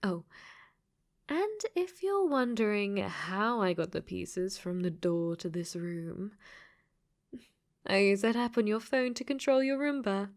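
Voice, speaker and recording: A woman voicing a clingy, possessive dating-sim AI in a robot body for an ASMR roleplay, sweetly menacing and breathy, often dropping to whispers. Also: medium pitch, takes it slowly. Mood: smug, playful. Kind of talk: ASMR audio roleplay acting.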